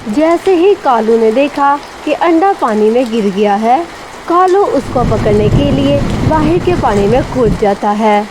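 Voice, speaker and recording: A woman's voice: high-pitched, lively intonation, measured pace. A woman narrating a scripted children's story. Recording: faint rain and background noise.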